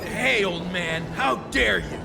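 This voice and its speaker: A man's voice: high-pitched, expressive, slow pace, gravelly, in a large hall, over music, exaggerated voice. An actor playing an officious, easily rattled civil guard in a video game, blustering as he gives orders. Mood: indignant.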